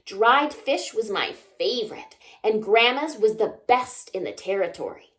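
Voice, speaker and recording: Woman, higher-pitched voice, very lively intonation, unhurried, children's story time reader, expressive. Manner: expressive, asks child listeners simple questions. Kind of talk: read-aloud children's picture book narration.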